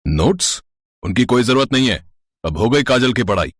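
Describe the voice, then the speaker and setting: A man's voice: medium pitch, lively intonation, normal speed, no echo, clean studio sound, close to an everyday voice. A man playing a stern, controlling father in dramatic story dialogue. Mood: harsh, dismissive. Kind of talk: animated Hindi story dialogue.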